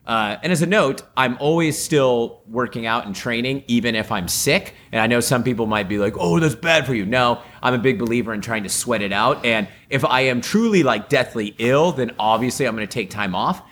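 A man's voice: medium pitch, expressive, brisk, hardly any pauses, fairly bright tone, a little hiss or hum. Upbeat rambling man, voice slightly off. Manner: rambling, upbeat, lots of fillers. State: voice still slightly off after a sore throat. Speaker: podcast co-host. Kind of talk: casual chat.

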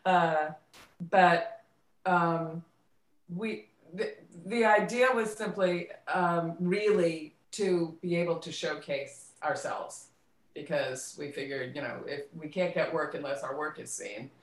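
Middle aged woman in an interview, echoey room, kinda halting, repeats words while she thinks. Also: low-pitched, fairly even intonation. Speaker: guest, theater director and actress. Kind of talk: conversational interview recollection. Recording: roomy, echoing voice pickup.

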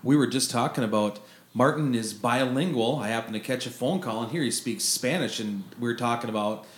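A man's voice: medium pitch, brisk. A man hosting a music podcast, asking questions and teasing his guest in a friendly way. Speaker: podcast host. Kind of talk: casual interview. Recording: roomy room, faint noise.

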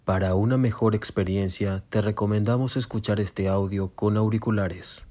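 A man's voice: fairly low pitch, fairly even intonation, normal speed, mellow, a little hiss or hum. A man narrating a scripted suspense audio drama.

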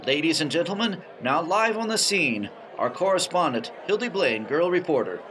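Patronizing 1930s announcer, animated and high, smooth-talking comic delivery, faint noise. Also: male voice, normal speed. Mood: smarmy. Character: smarmy, patronizing male radio studio anchor. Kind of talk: 1930s radio announcer acting.

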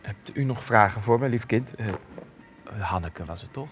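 An actor playing a gruff, bitter old former resistance fighter in a Dutch radio play, speaking with sardonic deliberation. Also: male voice, lowish voice, lively intonation, normal speed, soft background music, lightly acted. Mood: sardonic, weary.

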